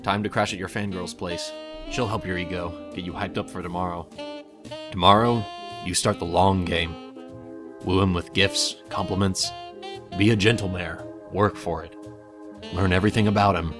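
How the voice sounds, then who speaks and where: A man playing a measured, wry narrator who voices an adventurer's coaxing inner thoughts in a scripted second-person story. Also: deep voice, fairly even intonation, over music, close to an everyday voice. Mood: coaxing, determined.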